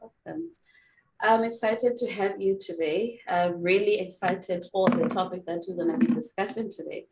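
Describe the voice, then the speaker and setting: A woman's voice: mid-range voice, moderate pace, darkish tone. A woman hosting an online panel discussion, upbeat and enthusiastic as she introduces guests. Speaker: host. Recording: echoey room, faint background noise.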